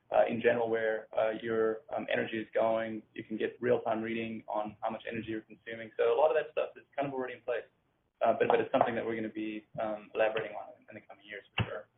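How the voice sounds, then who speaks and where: Exec guy on a call, kinda monotone, measured and halting, narrow phone sound. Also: fairly low pitch. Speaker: company executive. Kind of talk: unscripted answer on an earnings call. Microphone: conference call line. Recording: narrow phone-like line, faint noise.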